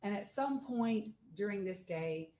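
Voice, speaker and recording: A woman's voice: mid-range voice, expressive, a little slow, warm tone, slight background noise. A woman prosecutor questioning a witness and speaking formally to the judge in a courtroom. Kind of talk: courtroom examination. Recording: echoey courtroom audio.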